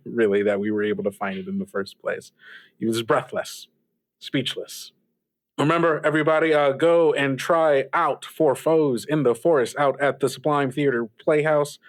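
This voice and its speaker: A man's voice: medium pitch, dry recording, close to an everyday voice. Man acting as small-town radio DJ, hesitant, earnest, stammering, rambling casual chat, very dry sound. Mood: wistful, halting. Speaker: radio host.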